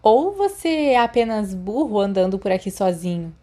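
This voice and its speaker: A woman's voice: medium pitch, sing-song, moderate pace, dark tone. A woman voicing a manic, aggressive teenage boy wizard who barks insults, in a Brazilian audiobook. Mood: menacing.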